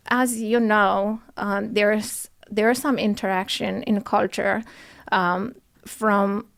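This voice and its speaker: A woman from Romania, in her forties, speaks. She talks softly and hesitantly, filling pauses with ums, and her voice carries a gravelly creak at a medium pitch. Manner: soft-spoken, many ums, hesitant. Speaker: podcast guest, nurse. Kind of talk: interview answers. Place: studio.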